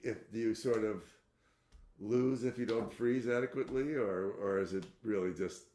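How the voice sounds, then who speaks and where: A man guiding a client through a therapy session over a video call, with gentle, unhurried prompts and many affirming murmurs. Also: fairly low pitch, reverberant.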